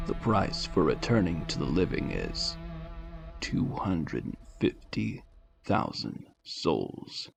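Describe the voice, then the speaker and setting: A man voicing a large, cruel python who rules the dead in a fantasy audio drama, speaking in a cold, measured, condescending way. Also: low-pitched, huge pitch swings, gravelly, soft background music, full character voice. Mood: menacing bargaining. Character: large muscular male python ruler of the dead, imperious and cruel.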